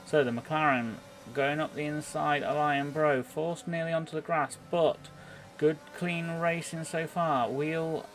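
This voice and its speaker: Even-toned man commentating on sim racing. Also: on the high side, a little slow, few pauses. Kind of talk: live play-by-play commentary of a racing game.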